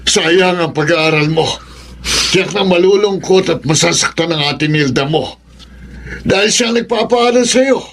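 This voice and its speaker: An elderly grandfather in a Filipino radio drama. His voice sits high for a man, and he frets and scolds with a tender, sympathetic streak. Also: unhurried, fairly bright tone, a little hiss or hum. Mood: startled, fretful.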